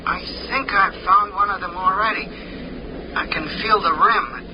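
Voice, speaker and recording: An actor playing a timid but brave little man in a spacesuit, hesitant and halting, in 1950s radio drama. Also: high voice, natural voice. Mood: tense, careful. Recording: muffled, noisy 1950s radio recording.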